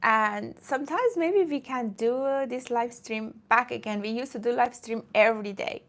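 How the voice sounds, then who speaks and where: A woman's voice: on the high side, normal speed, a bit bright. A woman from Iran who streams about fitness, chatting casually with viewers in a rambling way and often breaking off to greet commenters.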